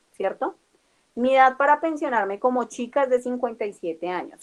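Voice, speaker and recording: A young Colombian woman with a Colombian accent teaching a class, explaining step by step and checking in with her students. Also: a little high. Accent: Colombian Spanish. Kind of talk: classroom lecture.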